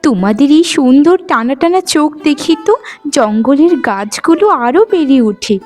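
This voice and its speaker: A woman playing a kind young forest maiden in a cartoon fairy tale, with a tender, expressive way of talking. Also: high voice, moderate pace, faint music behind. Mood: tender, happy.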